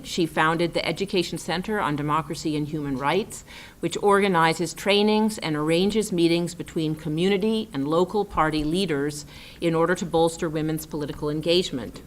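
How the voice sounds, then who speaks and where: A woman moderator reading a formal, prepared introduction of the speakers at an event. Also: sits low. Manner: formal, reads from a prepared text. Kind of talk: scripted introduction.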